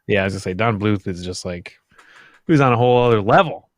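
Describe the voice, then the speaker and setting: A man around his thirties chatting casually in a hesitant, halting way. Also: on the low side, no room sound, clean studio sound. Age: 30s. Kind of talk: casual chat.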